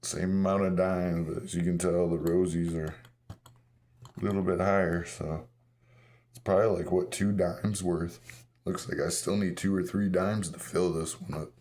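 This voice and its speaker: Hoarse male vlogger, very low, loose self-correcting chat. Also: fairly even intonation, moderate pace, a little mellow. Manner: rambling, self-correcting, chuckles at himself. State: hoarse, partly lost voice. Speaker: coin-collecting vlogger. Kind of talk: casual show-and-tell vlog.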